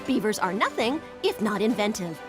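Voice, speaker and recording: A woman's voice: mid-range voice, very animated, moderate pace, over music, lightly acted. A woman voicing a brash, bossy know-it-all girl in a cartoon, with an emphatic, lecturing manner. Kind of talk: cartoon voice acting.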